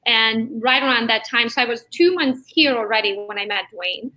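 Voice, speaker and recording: A woman around forty with a bright, fairly high voice, Hungarian by first language and raised in Transylvania. She tells stories in a lively way and laughs often as an interview guest. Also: normal speed, low-quality recording. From Transylvania, Romania. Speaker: real estate agent guest.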